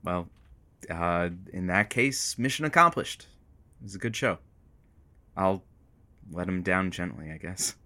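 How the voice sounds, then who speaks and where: A man's voice: sits low, measured pace, pauses often, sharp tone, full character voice. A man playing a teasing, matchmaking radio producer and sidekick in an audio drama. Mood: conciliatory. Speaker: co-host. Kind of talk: semi-improvised audio drama.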